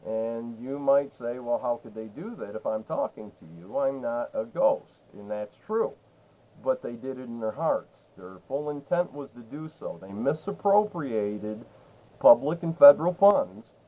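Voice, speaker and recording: A man speaks as a caller pressing a complaint with an official's office. His voice is mid-pitched and mellow, with a soft, dark tone. He is emphatic and insistent, repeating himself as he lays out his accusations. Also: expressive, unhurried, rough recording. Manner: emphatic, repetitive, interrupting. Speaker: caller to a state senator's office.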